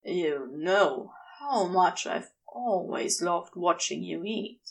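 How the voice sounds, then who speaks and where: Woman as a male demon tempter, teasing, adoring, lowered gravelly drawl, very unhurried, seductive, audiobook character. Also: animated, heavily acted, poor audio.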